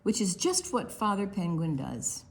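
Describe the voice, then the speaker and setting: Woman, children's storytime reader. Unhurried, very animated pitch, expressive delivery aimed at preschoolers. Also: a little hiss or hum. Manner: expressive, deliberate, with quiet asides to young listeners. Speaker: children's librarian hosting online storytime. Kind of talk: read-aloud picture book storytime for preschoolers.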